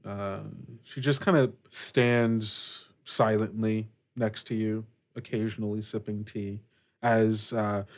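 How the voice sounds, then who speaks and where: A man's voice: lowish voice, flat delivery, slow pace. A man working as game master, improvising scene narration for a tabletop game in a conversational, hesitant way.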